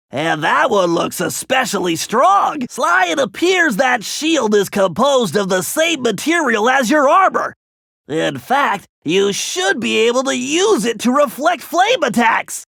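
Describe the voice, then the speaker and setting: Game gadget expert: very high, forceful, nasal, bookish and eager, precise planner, dry close recording. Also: male voice, sing-song, measured pace, gravelly, dry recording, heavily acted. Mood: precise, briefing. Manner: precise, wordy, bookish briefing. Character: nerdy male brainy gadget expert of a thief gang, eager planner. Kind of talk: animated video game character voice acting.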